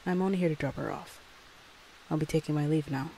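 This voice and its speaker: A man playing a subdued, soft-spoken underworld driver, hesitant and apologetic, in a roleplay audio drama. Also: fairly high pitch, brisk, vocal fry, slight background noise, rough recording. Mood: businesslike.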